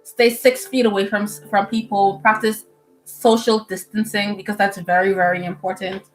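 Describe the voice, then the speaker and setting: A young woman from the Bahamas, a medical student, chatting casually with viewers on a livestream at home, animated and full of fillers and laughs. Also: mid-range voice, moderate pace, rough recording. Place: indoors at home. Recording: soft music playing behind.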